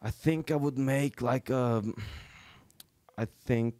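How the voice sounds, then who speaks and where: A man's voice: medium pitch, slow, mellow, dry recording. A young man from rural Norway, a native Norwegian speaker, talking in a casual podcast chat with many fillers and restarts. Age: young adult. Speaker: podcast guest, comedian. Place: small studio room. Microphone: studio mic on boom arm, headphones.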